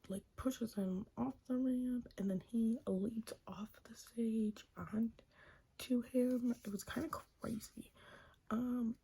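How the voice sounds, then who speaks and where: Young woman, breathy whisper, slow, mellow, giggly, gushing fan recap. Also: on the high side, rough recording. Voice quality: soft whisper, frequent giggles. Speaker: wrestling recap host. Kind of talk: whispered ASMR wrestling recap.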